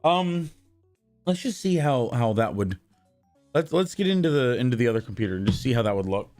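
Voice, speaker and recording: A man with a worn-out, husky voice chatting casually with his live-stream viewers and shouting greetings to newcomers. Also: mid-range voice, sing-song, fairly quick, faint music behind. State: hoarse, worn-out voice.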